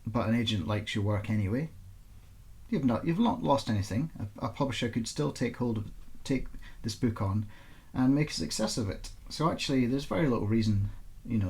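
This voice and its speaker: A man speaking as an author presenting and reading aloud his own fiction in an informal, expressive storytelling style. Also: lowish voice, brisk. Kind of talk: informal introduction, then expressive audiobook-style narration.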